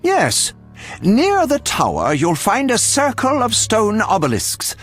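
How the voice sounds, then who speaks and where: An actor playing an eccentric, self-important alchemist in a video game, obsessive and theatrical, with fussy delivery. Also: male voice, sits high, huge pitch swings, takes it slowly, soft background music, exaggerated voice. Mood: excited, grandiose.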